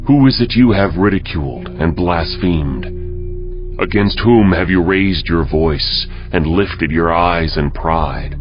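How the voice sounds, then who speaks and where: Stern old-world prophet, low voice, slow declamation, loud music behind, Bible audio drama. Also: male voice. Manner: measured, solemn, declamatory. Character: stern male prophet proclaiming God's judgement. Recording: dull audio with music underneath.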